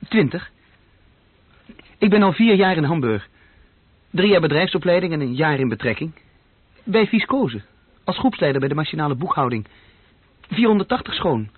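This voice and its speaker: A man's voice: fairly high pitch, big pitch swings, moderate pace, long pauses, breathy edge, no echo. An actor playing an earnest, slightly awkward young office worker courting a girl in a radio drama. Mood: matter-of-fact. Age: young adult. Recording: narrow-band old radio play recording, very dry.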